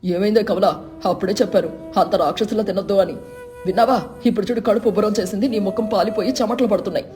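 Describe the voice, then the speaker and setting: A woman voicing a cautious, anxious but caring husband in a storybook tale, admonishing in a hurried way. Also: mid-range voice, warm tone, faint music behind, lightly acted. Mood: worried, scolding.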